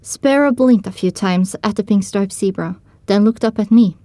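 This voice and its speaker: A woman's voice: medium pitch, normal speed, dark tone, no room sound. A woman reading first-person dramatic story narration for an audio drama.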